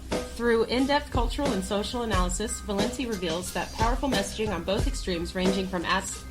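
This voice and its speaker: A woman's voice: medium pitch, rough recording. A woman co-hosting a podcast, delivering a scripted ad read. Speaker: podcast co-host. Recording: loud music under the voice. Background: loud music.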